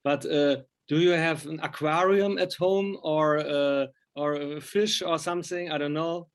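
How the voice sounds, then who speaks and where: A man asking casual interview questions in halting, English. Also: on the high side, fairly even intonation. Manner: halting, non-native English phrasing.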